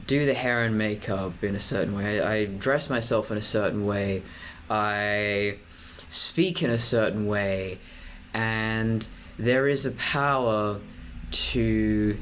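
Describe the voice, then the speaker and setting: A young adult man with a deep voice, talking to camera in a personal vlog, pacing his words deliberately with frequent restarts. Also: a bit bright, a little hiss or hum. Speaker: vlogger.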